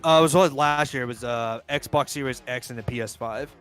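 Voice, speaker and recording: A man's voice: medium pitch, a little fast, a bit bright, clear, resonant tone, faint music behind. A man in his twenties chatting casually during a gaming livestream, rambling, restarting sentences and swearing freely. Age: 20s. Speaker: co-player on livestream.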